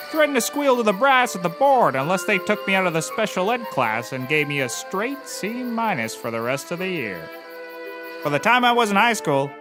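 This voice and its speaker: An actor playing an eccentric, deluded, self-pitying private investigator in a rambling, dramatic comedy monologue. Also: male voice, sits high, rough recording.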